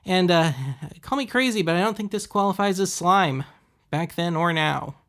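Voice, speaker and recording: A man hosting a web series, giving casual, deadpan, wry voice-over commentary on thrift finds. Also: sits high, normal speed. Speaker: host of a web video series.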